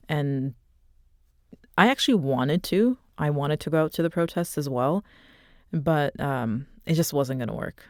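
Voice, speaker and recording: Woman in her thirties, interview guest, gentle and halting, dry close sound. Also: deep voice, no echo. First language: not Arabic. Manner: soft, reflective, halting with many fillers. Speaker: author and podcast guest. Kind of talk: personal story in an interview.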